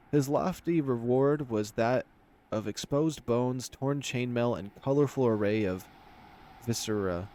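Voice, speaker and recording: A man's voice: mid-range voice, darkish tone. A man narrating a visual novel aloud as a let's player, switching between story reading and casual commentary. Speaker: video game let's player.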